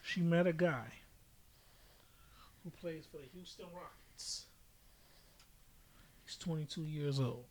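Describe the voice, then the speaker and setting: A man with a somewhat high-pitched voice hosts a casual podcast. He tells things in a slangy, emphatic way, leaning on repetition and giving his opinions freely. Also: takes it slowly, long pauses, a little hiss or hum. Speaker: podcast host.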